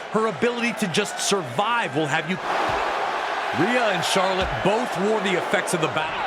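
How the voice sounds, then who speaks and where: Man, high voice, wrestling commentator, dramatic documentary narration, emphatic delivery, heavy crowd noise behind. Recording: loud crowd roar and arena noise. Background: roaring arena crowd.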